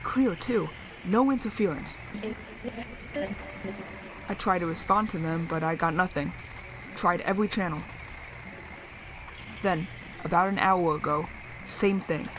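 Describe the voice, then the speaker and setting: Lowish woman survivor actress, musing and hesitant, talking into a radio with clear noise behind. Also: unhurried, long pauses, natural voice. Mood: puzzled, pensive. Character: thoughtful adult woman survivor transmitting by radio. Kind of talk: audio drama monologue as radio transmission. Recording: clearly noisy radio transmission with ambience.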